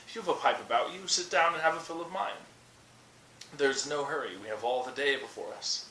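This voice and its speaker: A respectable middle-aged hobbit from a storybook as a man reads him aloud, fussy and emphatic in his politeness. The voice is bright and brisk, caught in a roomy space away from the mic. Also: medium pitch, voice pushed up, close to an everyday voice. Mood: cheerful, hospitable. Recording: roomy, distant webcam mic with noise.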